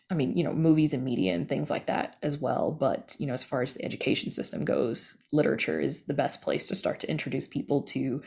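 A woman's voice: sits low, vocal fry, a little mellow. An American woman from East Texas speaking casually and emphatically as an interview guest. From East Texas, United States. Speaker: podcast guest, audio drama creator.

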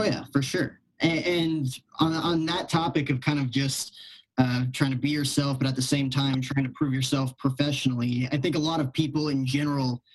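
A man interviewing a guest in a casual, halting way, working through long-winded questions. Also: medium pitch, low-quality recording.

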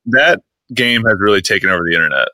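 A man's voice: lowish voice, expressive, fast talker, sharp tone, no echo, poor audio. A man hosting a casual podcast chat, rambling with frequent restarts and fillers. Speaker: podcast host. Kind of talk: casual chat about internet posts.

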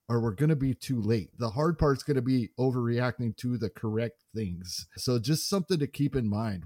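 A man co-hosting a casual sports podcast, giving measured, analytical advice. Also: mid-range voice, warm tone, dry recording, studio quality. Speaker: podcast co-host.

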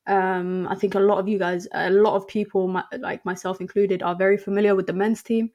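Woman chatting football, flat delivery. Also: mid-range voice, brisk, dull audio. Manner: chatty, many ums and restarts. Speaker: podcast guest. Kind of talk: casual football chat.

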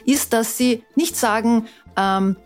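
A middle-aged woman, a guest chatting casually in a podcast, self-deprecating and quick to laugh. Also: a little high, faint music behind. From Austria. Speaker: guest, former member of parliament.

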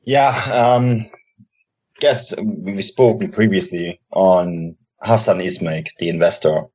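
A man's voice: sits low, fairly even intonation, darkish tone, husky. A man, a podcast guest explaining at length with fillers. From Germany. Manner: fast explanatory talk with fillers. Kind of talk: casual podcast chat. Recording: dull lo-fi audio.

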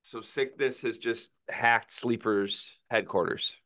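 A man co-hosting a casual sports podcast, sick and feeling rough, with dry deadpan teasing. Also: lowish voice, takes it slowly, no room sound. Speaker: podcast co-host.

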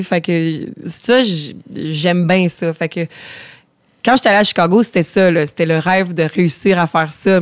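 A woman's voice: fairly low pitch, no room sound, studio quality. A woman in her thirties with a Québécois French accent, a comedian chatting as a podcast guest, self-deprecating, with many fillers and restarts. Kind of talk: casual podcast conversation.

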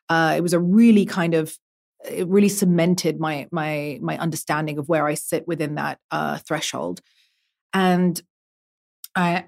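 Low-voiced woman, halting and thoughtful. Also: vocal fry, a little mellow. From half Emirati. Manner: hesitant, many fillers and restarts. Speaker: guest, artist. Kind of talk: podcast conversation.

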